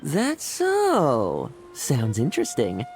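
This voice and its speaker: A woman's voice: very deep voice, huge pitch swings, slow, heavily acted. A woman playing a cocky, sardonic young male knight in a video game, with a smug, teasing drawl. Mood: casually curious. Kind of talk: video game character acting. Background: game music.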